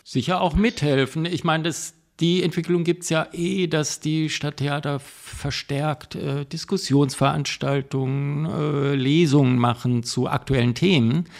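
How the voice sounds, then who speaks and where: A man, a theatre critic and podcast guest, speaking thoughtfully and pausing with many fillers. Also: fairly high pitch, steady tone, gravelly. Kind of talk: podcast interview.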